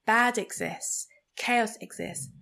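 A woman from Nigeria whose first language is Igbo, hosting a podcast and reflecting slowly and earnestly on her beliefs. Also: on the high side, gravelly, pushed and bright. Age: adult, a mother. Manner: slow, thoughtful, earnest delivery. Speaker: podcast host. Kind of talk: reflective personal monologue.

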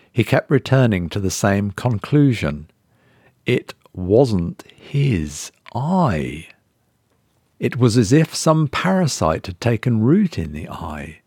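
A man reading a horror short story as narrator, in a measured, suspenseful dramatic style. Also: medium pitch, a little mellow, no room sound, studio quality.

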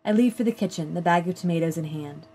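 A woman reading a first-person literary short story aloud in a measured, plain storytelling manner. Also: fairly low pitch, mellow. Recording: clear background noise.

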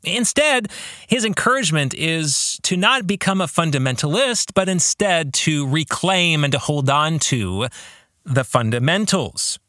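A man hosting a reflective book-club podcast as its author, speaking in deliberate phrases and addressing the listener directly. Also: a little high, lively intonation, sharp tone, dry recording, studio quality. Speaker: podcast host and author discussing his own book.

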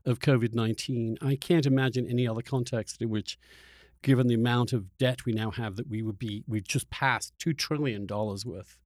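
Halting, reflective male podcast host, dry sound. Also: mid-range voice, fairly even intonation, a little mellow, dry recording. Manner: halting, searching, repeats words as he thinks. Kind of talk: reflective conversational interview.

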